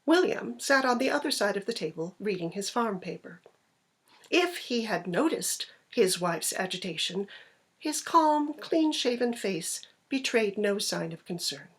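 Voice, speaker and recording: A woman narrates a classic short story in a middle-pitched voice. There is a light huskiness to her tone as she reads the scripted prose aloud. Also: animated, measured pace, a bit bright, husky.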